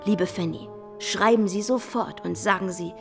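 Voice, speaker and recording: Eine Schauspielerin spielt in einem Hörspiel eine junge, weltgewandte Dame, die eindringlich und gewählt einen Brief vorträgt. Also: female voice, mid-range voice, moderate pace, gravelly, music in the background, natural voice. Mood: eager, persuasive. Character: worldly, insistent young society lady writing letters. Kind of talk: radio drama acting, letter read aloud.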